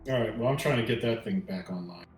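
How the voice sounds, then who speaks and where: Male tabletop player, casual talk, slightly low voice, echoey room. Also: fast, darkish tone. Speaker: player in a tabletop roleplaying game. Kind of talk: casual chat during a game. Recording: roomy echo, music underneath.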